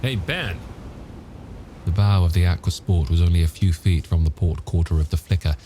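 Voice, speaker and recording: A man's voice: sits low, steady tone, fast talker, background noise. A man reading an audiobook as narrator, in a scripted storytelling delivery.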